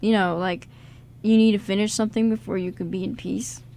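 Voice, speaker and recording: A woman's voice: mid-range voice, fairly even intonation, fairly quick, dark tone, natural voice. A woman playing a guarded, self-doubting male con artist in an amateur radio drama, speaking casually and a little hesitantly. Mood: reflective. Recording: background noise in amateur radio recording.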